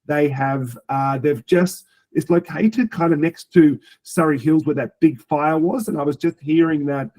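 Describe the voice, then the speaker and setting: A man's voice: fairly high pitch, unhurried, a little mellow. A man hosting a business webinar who introduces the guests in a rambling way with many fillers. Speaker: webinar host.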